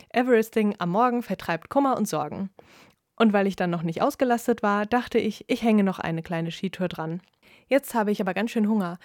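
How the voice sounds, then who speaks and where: A woman's voice: mid-range voice, no room sound, studio quality, natural voice. A woman voicing a sporty, upbeat young woman skier in an audiobook story, talking with bubbly bravado. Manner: bubbly, boastful.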